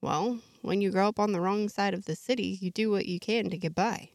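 A man's voice: high voice, steady tone, a little fast, gravelly, dry recording, studio quality. A woman voicing a mischievous young male shapeshifter who talks in a teasing, knowing way.

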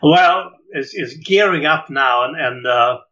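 A man's voice: a little high, slow pace, sharp tone, lo-fi sound. A man in his seventies from Sweden, a jazz clarinetist telling his story in an interview, hesitant with many fillers and repeated words.

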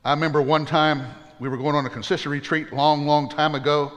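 A man's voice: fairly high pitch, level tone, fast, fairly bright tone, in a large hall. A man preaching a sermon as pastor, earnest and plainspoken, with folksy humorous asides.

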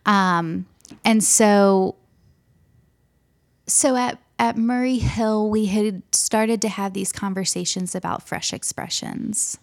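A young woman speaks with a soft, gentle presence, taking her time over each thought. A light gravelly fry runs under her words, and she pauses often to find them. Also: medium pitch, steady tone. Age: young adult. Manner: soft-spoken, gentle, hesitant with many ums. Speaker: pastor. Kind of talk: interview conversation.

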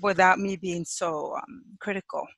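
Woman from Chicago, bright tense voice, dry close sound, laughs often, pauses with fillers. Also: lowish voice, fairly even intonation, creaky, no echo. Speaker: guest, clinical psychologist and sex therapist. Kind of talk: casual interview chat. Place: home office in Hawaii.

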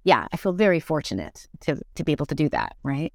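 A middle-aged woman chatting reflectively as an interview guest, animated and thinking aloud, often doubling back on her words. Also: sits low, fast, dry recording, studio quality. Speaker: interview guest, musical theatre actor. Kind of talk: casual reflective conversation.

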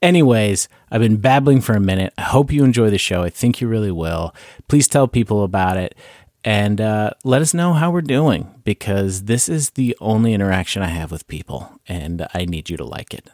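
A man's voice: lowish voice, expressive, normal speed, a little mellow, no room sound, studio quality. A man from Canada with a slight Canadian accent, hosting a casual comedy podcast and rambling with self-mocking jokes. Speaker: podcast host.

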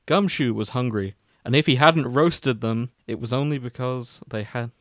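Guy reading fanfic out loud for laughs, amused, mid pitch, close dry sound. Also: moderate pace, no echo. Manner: amused, often breaking into laughter. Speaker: narrator and host reading fan fiction. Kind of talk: comedic read-aloud with casual asides.

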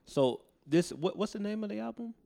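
A man's voice: high-pitched, animated, a little fast, vocal fry, warm tone. An American man hosting a podcast in casual, slangy conversation, agreeable and often echoing what he hears. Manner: slangy, agreeable, echoes the other speaker. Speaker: podcast host.

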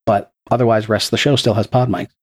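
A man's voice: on the low side, fairly even intonation, quick pace, breathy edge. A man who produces a podcast and runs its game, answering interview questions in an earnest, explanatory way with a few restarts, speaking into a podcast microphone. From Texas.

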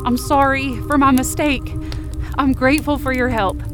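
Woman acting frightened determined young woman, high urgent pleading voice, supernatural audio drama, music behind. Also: level tone, normal speed, lightly acted.

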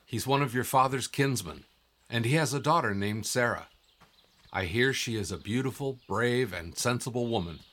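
An actor playing a calm, wise and assured guide in an audio drama, instructive and encouraging in manner. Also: male voice, mid-range voice, moderate pace, natural voice. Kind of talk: scripted audio drama acting.

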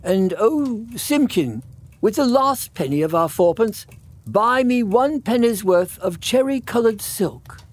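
A man's voice: sits high, a little mellow, pitched above the performer's own voice, full character voice. A man voicing a poor, worn little old tailor who mutters earnestly in a storybook reading. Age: older.

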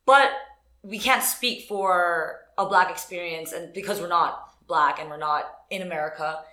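Bright-voiced woman co-hosting a podcast. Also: fairly low pitch, echoey room. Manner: frank and quick to react, casual. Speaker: podcast co-host. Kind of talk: casual podcast chat. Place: coworking room.

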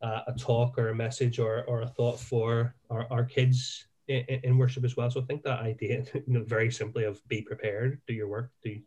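A man's voice: mid-range voice, little pitch movement, normal speed, few pauses, a little mellow. A man with a Northern Irish accent, a minister co-hosting a podcast, talking in a reflective, self-deprecating and rambling way. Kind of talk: casual podcast chat.

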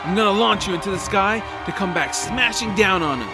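A man's voice: high-pitched, lively intonation, fairly quick. An actor playing an earnest young male spellcaster in an audio drama, urgently rallying companions. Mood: determined. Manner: urgent, encouraging. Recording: loud music and crowd behind.

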